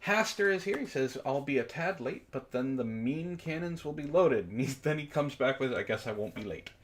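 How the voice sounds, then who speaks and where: A man with a middle-pitched voice hosts a casual livestream. He chats loosely with co-hosts and viewers. His announcements are jokey and playfully theatrical. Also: animated, normal speed, a little hiss or hum. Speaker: host of a book club livestream.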